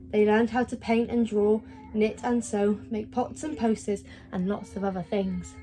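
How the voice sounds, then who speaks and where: A woman reading a children's story aloud in an expressive storytelling style. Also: mid-range voice, low-quality recording. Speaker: storybook reader.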